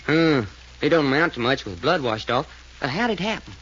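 A man's voice: on the high side, sing-song, a little fast, a bit bright, slight background noise. An actor in 1940s radio style playing a brash, folksy Southern cowboy sidekick who chases girls and riles easily. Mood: puzzled. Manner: folksy, drawling, indignant. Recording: dull old-time radio recording.

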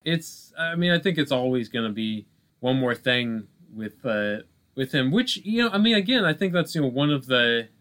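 A man's voice: mid-range voice, expressive, moderate pace, a bit bright. Male podcast co-host, mid-range voice, casual film chat, hesitant and discursive.

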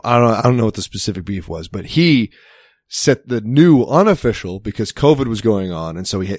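A man's voice: on the low side, darkish tone, no echo, clean studio sound. A young man chatting casually, telling a long story with many restarts and the odd swear word. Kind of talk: casual chat.

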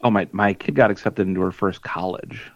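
Self-deprecating man in his forties with a deep voice, on a dull dry recording. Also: brisk, no echo. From Minnesota. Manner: rambling, self-deprecating, lots of fillers. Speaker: podcast co-host. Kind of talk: casual chat.

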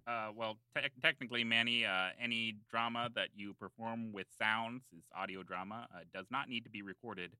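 A man plays an earnest local history buff on a comedy podcast. His low voice is very bright and crisp, and he rambles through hesitant, mock-scholarly explanations. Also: fairly even intonation, a little fast, hardly any pauses, dry recording. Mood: earnest, rambling. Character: rambling, self-serious small-island local man explaining town lore. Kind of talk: improvised comedy podcast banter in character.

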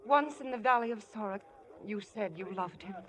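A woman's voice: mid-range voice, normal speed, fairly bright tone, lightly acted, low-quality recording. An actress playing a humble, devoted young woman, earnest and imploring, in 1950s radio drama style. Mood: pleading.

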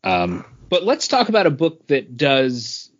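A man's voice: medium pitch, wildly swooping pitch, measured pace. A man co-hosting a podcast, casually critiquing a comic and pointing out details patiently. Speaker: podcast co-host. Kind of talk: casual comic review chat. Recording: dull lo-fi podcast audio.

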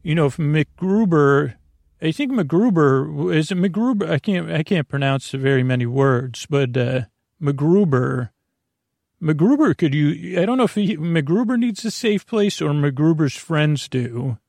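A man with a creaky voice hosting a sleep podcast, meandering through a soothing, hesitant bedtime monologue and often interrupting himself. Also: a little high, lively intonation, measured pace, darkish tone, dry recording, clean studio sound. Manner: lulling, soothing.

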